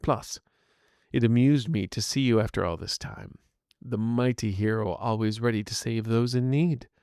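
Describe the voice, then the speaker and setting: A man voicing an aging wizard villain in a fantasy story, speaking formally with proud, measured scorn. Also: medium pitch, dark tone, smooth, dry recording, studio quality, natural voice. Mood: stern, proud.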